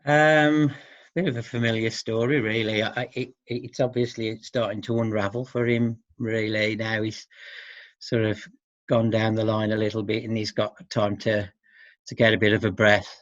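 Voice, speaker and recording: Man, discussion guest, hesitant, low flat voice, dull lo-fi recording. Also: moderate pace. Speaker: interview guest from family support service. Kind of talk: informal discussion. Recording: dull lo-fi microphone sound.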